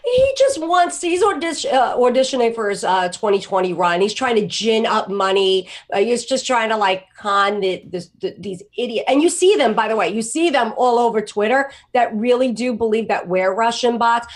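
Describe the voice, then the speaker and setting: A woman co-hosting a political talk show, animated and sarcastic with quick asides. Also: fairly high pitch. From New York. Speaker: co-host.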